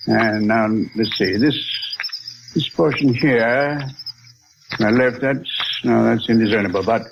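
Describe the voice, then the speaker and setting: An actor playing a learned, courteous scientist in 1940s radio drama, speaking in a formal, deliberate, lecturing manner. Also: male voice, mid-range voice, creaky. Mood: intent, solemn. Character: learned, courteous male scientist, solemn and assured. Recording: muffled, noisy 1940s radio recording.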